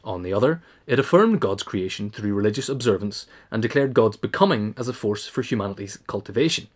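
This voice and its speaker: A man reads a written essay as an audiobook narrator. His voice is on the low side, and he keeps up a fast pace with formal delivery. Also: animated. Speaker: audiobook reader.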